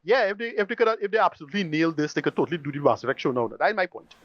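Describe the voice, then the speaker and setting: A man's voice: on the high side, sing-song, very fast, a bit bright, no room sound. A man co-hosting a podcast, giving opinions in informal, slangy chat with the occasional swear, on a slightly dull recording. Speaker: podcast co-host.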